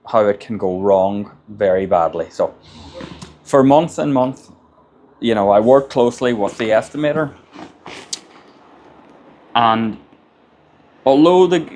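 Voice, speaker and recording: A man's voice: mid-range voice, animated, slow pace, pauses often, darkish tone, slight background noise. An Irish man, a construction business owner, gives plain-spoken advice in a casual monologue and often repeats words as he gathers his thoughts. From Ireland.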